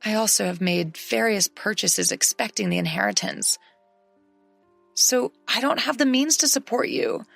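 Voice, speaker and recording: A woman's voice: medium pitch, big pitch swings, moderate pace, gravelly, fairly bright tone, no echo, soft background music, voice pushed up, exaggerated voice. A woman reading a story aloud, voicing a greedy, cheating husband who blusters and then begs. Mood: pleading. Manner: pleading, blustering.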